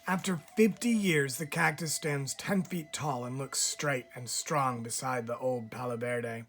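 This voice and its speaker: A man reads a children's picture book aloud in a measured, expressive way, pausing deliberately like a teacher telling a story to a class. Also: mid-range voice, slight background noise.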